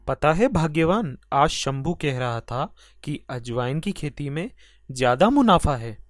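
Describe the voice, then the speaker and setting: An actor playing a hardworking, weary farmer husband in a cartoon fable, with an earnest, gently chiding manner. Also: male voice, fairly high pitch, expressive, measured pace, no room sound, clean studio sound. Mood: pleased.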